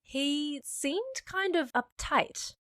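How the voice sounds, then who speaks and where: An actress playing a frank, wry half-human job seeker in a fiction podcast, candid and slightly awkward. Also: female voice, high voice, very animated, very slow, a bit bright, full character voice. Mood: earnest.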